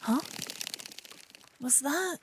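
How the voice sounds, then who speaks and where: A woman playing an earnest, chatty young paladin girl in an ASMR fantasy roleplay, with soft, expressive, dramatic delivery. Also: high voice, extremely slow, slight background noise, heavily acted. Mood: alarmed, flustered.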